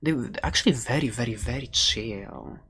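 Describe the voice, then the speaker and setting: A woman's voice: very deep voice, normal speed, a bit bright, slight background noise. A woman playing a playful, friendly dragon egg seller in an improvised ASMR roleplay, rambling hesitantly with little giggles. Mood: musing, teasing.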